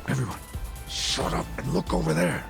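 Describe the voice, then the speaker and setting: An actor voicing an easygoing, know-it-all middle-aged sidekick in an English video game dub. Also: male voice, fairly high pitch, expressive, normal speed, vocal fry, over music. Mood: alarmed.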